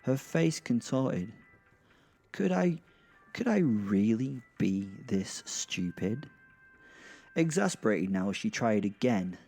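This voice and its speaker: A man hosting and narrating an audio drama podcast in an informal, halting, self-deprecating way. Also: on the low side, very animated, warm tone, faint music behind. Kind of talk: first-person audio drama storytelling and informal address.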